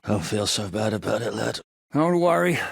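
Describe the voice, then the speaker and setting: An actor playing a gruff, battle-hardened rebel commander in a video game, barking orders, swearing and mocking his foes. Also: on the low side, animated, moderate pace, no room sound, clean studio sound, full character voice. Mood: commanding.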